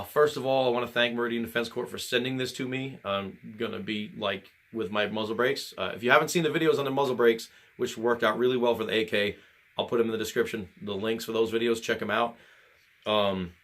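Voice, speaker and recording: A man's voice: medium pitch, fairly bright tone. Candid man with an illness-worn voice. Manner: earnest, candid, a little halting. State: recovering from illness, voice altered. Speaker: channel host. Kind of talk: casual video address.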